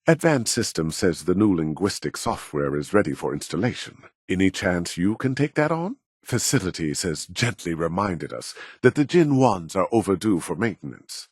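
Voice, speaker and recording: Deep, husky, arrogant male scientist. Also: no room sound, studio quality, heavily acted. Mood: busy, businesslike. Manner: measured, formal, self-important, condescending. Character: proud, arrogant middle-aged male robotics scientist. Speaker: video game character voice actor. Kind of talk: scripted video game dialogue.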